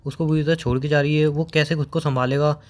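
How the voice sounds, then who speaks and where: A young man on a phone call. He has been crying, sounds hoarse, and speaks in a subdued, halting way, slow to open up. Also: fairly high pitch, fairly even intonation. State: tearful, hoarse. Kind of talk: emotional phone conversation.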